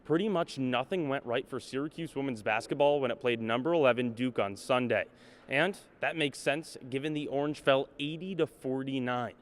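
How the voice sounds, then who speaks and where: A man's voice: mid-range voice, moderate pace. A man working as a sports reporter and play-by-play announcer, delivering scripted reports and game commentary.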